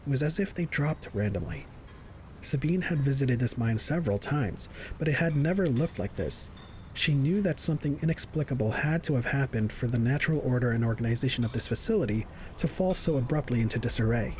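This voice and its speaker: Mid-pitched male story narrator. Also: animated, fairly quick, a little mellow, slight background noise, poor audio. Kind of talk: scripted audio drama narration.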